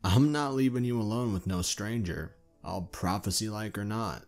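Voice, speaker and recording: A man's voice: fairly low pitch, moderate pace, a little mellow, soft background music, lightly acted. A man playing a young male dragon putting up a tough, protective front in an audio drama, blunt and informal. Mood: defiant.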